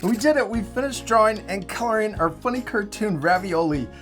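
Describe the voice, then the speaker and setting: A man's voice: high-pitched, expressive, a little fast, fairly bright tone, faint music behind. A middle-aged man, guiding children step by step through a drawing lesson with simple, encouraging instructions. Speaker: host of a children's drawing tutorial.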